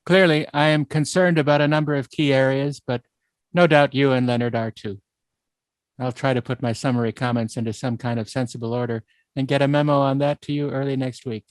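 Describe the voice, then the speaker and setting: A man with a medium-pitched voice co-hosts a film podcast. He speaks in a casual, conversational way. His recording is dry, with barely any room sound. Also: fairly even intonation, fairly quick, no echo. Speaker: podcast co-host.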